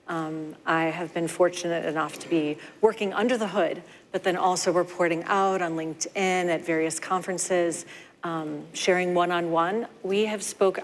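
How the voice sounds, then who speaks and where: Low-pitched woman speaking on a conference panel. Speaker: panelist, foundation AI resident. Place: large conference hall. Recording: long hall reverb.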